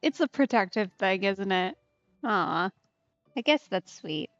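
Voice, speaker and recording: A woman's voice: medium pitch, expressive, a little slow, a bit bright, muffled recording. A woman game streamer giving casual, playful let's play commentary, reacting and thinking aloud. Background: faint game music.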